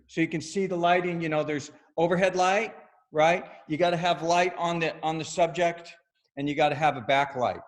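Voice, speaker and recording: A middle-aged man, a yoga studio owner, giving a loud, upbeat, chatty screen-share talk over a video call, with lots of "right?" tag questions. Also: fairly high pitch. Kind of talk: casual screen-share presentation.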